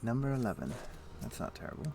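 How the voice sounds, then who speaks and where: A man's voice: mid-range voice, very animated, quick pace, gravelly. A man livestreaming a video game, casually thinking aloud in short muttered phrases as he plays, speaking into a desk microphone. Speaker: livestream host. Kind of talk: casual gaming commentary, talking to himself while playing.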